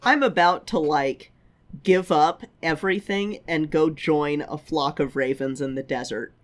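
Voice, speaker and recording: A woman's voice: low-pitched, a little slow. A woman co-hosting a podcast, chatting casually with wry, emphatic opinions. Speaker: podcast co-host.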